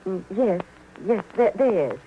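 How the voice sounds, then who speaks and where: An actress playing a sad, guarded frontier woman with halting American speech, in old-time radio western style. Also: medium pitch, big pitch swings. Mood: sad, hesitant. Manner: halting, stammering, reticent. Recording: muffled, hissy old radio recording.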